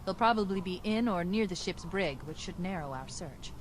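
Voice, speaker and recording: A woman voicing a composed female military AI in a video game, giving brisk, precise, businesslike tactical information. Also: on the low side, expressive, slight background noise, lightly acted. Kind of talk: video game cutscene dialogue.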